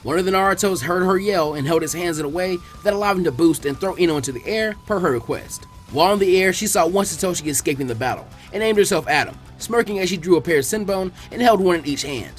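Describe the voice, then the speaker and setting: A man's voice: sits high, lively intonation, fast talker, few pauses, soft background music. A man reading fiction aloud as a dramatic audiobook narrator. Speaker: fan fiction reader.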